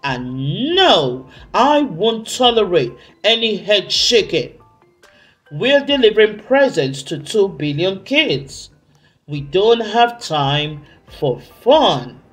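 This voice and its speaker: A woman's voice: mid-range voice, big pitch swings, soft background music. A woman voicing a tyrannical male head elf who barks stern, emphatic orders in a children's storybook. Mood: scolding, commanding. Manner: stern, emphatic, drawn-out commands.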